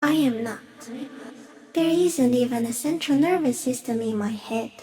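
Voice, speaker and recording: A woman voicing an ancient, serene giant stick insect in a video game, musing softly in halting, deliberate fragments. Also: on the high side, lightly acted. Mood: serene, reflective. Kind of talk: video game character voice acting.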